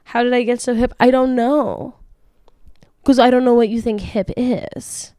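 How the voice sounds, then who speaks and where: A woman's voice: fairly high pitch, fairly even intonation, unhurried, mellow, dry recording, clean studio sound. A woman in her late twenties hosting a casual podcast, answering listener questions in a frank, chatty way with frequent swearing, laughs and restarts. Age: late 20s. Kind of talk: casual chat.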